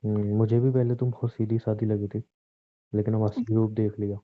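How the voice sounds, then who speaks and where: A man's voice: lowish voice, flat delivery, a little fast, lightly acted. A man acting as a bitter, controlling young husband in a conversational audio drama, sarcastic and confrontational. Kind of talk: acted Hindi relationship audio drama, conversational. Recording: thin, muffled phone-like lo-fi audio.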